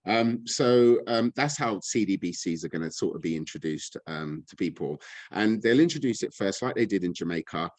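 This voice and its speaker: A man's voice: low-pitched, expressive, moderate pace, no echo, low-quality recording. A man, speaking as a guest, ranting in a frustrated, blunt, impassioned way. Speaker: guest speaker on money and gold. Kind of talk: impassioned casual talk.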